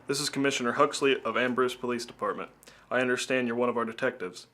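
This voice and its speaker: A man's voice: medium pitch, steady tone, fast, bright, forceful tone, lightly acted. A man playing an official, businesslike police commissioner in an amateur radio drama. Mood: formal, brisk. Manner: formal, businesslike.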